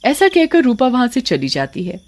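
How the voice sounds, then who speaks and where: A woman's voice: a little high, wildly swooping pitch, moderate pace. A woman storyteller reading scripted narration for a village tale.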